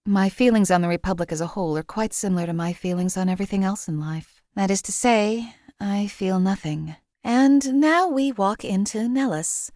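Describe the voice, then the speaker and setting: A woman voicing a cold, detached female hired assassin who speaks in a measured, formal, cynical way. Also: mid-range voice, a little mellow, no echo, studio quality, lightly acted. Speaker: companion character voice. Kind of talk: game voice lines.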